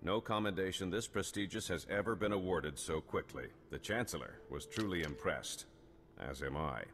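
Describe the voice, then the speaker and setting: An actor playing a formal, assured male colonel in a video game, speaking in a measured, official way. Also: low voice, a bit bright. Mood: formal, congratulatory. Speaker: military officer character. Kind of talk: video game cutscene acting. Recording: long hall reverb with music behind.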